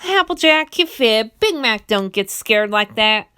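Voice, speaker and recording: A woman voicing a small excitable pony girl with a childlike voice. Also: a little high, measured pace, clear, resonant tone, dry recording, studio quality. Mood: indignant. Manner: squealing, indignant, childlike. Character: excitable little filly, the family's youngest.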